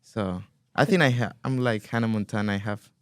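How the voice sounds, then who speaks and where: A man in his twenties from Colombia, raised in Spain, whose first language is Spanish, talking as a podcast guest and pausing and restarting as he looks for words. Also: lowish voice, smooth, no room sound, studio quality. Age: 20s. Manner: hesitant, restarts when searching for words. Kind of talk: casual interview.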